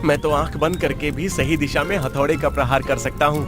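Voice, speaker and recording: A man's voice: on the high side, steady tone, brisk, music in the background, close to an everyday voice. A man voicing a boastful, eager young drifter in a cartoon story, speaking earnestly and with self-assurance.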